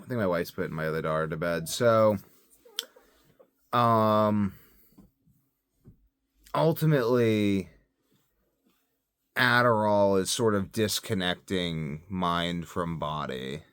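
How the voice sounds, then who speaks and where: Male vlogger, very deep voice, rambling and informal, close dry phone recording. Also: takes it slowly, long pauses, clean tone, no room sound. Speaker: self-experiment health vlogger and parent. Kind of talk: casual spoken video diary. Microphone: phone set up close by.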